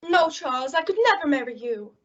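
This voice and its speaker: Woman as high-pitched, haughty radio sweetheart. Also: expressive, brisk, fairly bright tone, dull audio. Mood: haughty refusal. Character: haughty, picky young sweetheart in radio car ad. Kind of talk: 1950s radio commercial melodrama acting.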